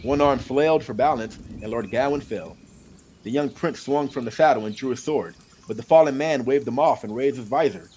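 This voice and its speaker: A man narrating an audiobook in an expressive, amateur storytelling style. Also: mid-range voice, fairly quick, slight background noise.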